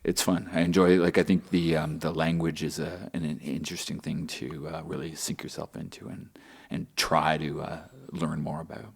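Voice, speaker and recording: A man's voice: very deep voice, level tone, moderate pace, gravelly, hardly any pauses, breathy edge. A man talking as a podcast guest, thoughtful and hesitant, with many fillers. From Canada. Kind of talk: casual conversation.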